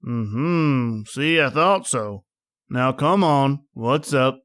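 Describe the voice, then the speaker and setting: A man's voice: medium pitch, drawn-out words, fairly bright tone, no echo, clean studio sound. A man acting a folksy, nosy small-town grocer in a radio drama, chatty and colloquial. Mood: folksy, prying.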